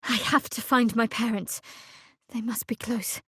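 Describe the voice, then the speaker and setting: A woman playing a guarded, sardonic young cleric of a dark goddess in a fantasy video game, speaking in a dry, measured, wry way. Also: fairly high pitch, steady tone, slightly rough, dry recording, heavily acted. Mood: grave, quiet.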